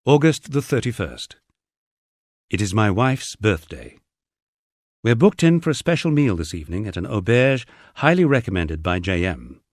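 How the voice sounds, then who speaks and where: A man's voice: lowish voice, big pitch swings, normal speed, no room sound, clean studio sound. A middle-aged man with an English accent reading a first-person memoir as a scripted narration. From England.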